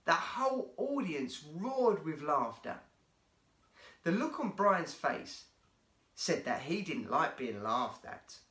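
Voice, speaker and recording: Slow, animated male children's storyteller. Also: fairly high pitch. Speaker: guest storyteller. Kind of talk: expressive children's story narration. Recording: roomy, echoey indoor sound.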